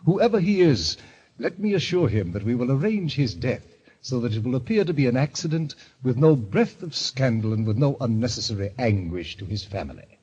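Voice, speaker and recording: Sinister, genteel club president, fifties, very husky, swooping delivery, pompous 1940s radio mystery style, dull lo-fi recording. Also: male voice, medium pitch, big pitch swings, a little mellow. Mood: grandiose, solemn. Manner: pompous, florid, genteel, deliberate phrasing. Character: suave, sinister club president of fifty, relishing death.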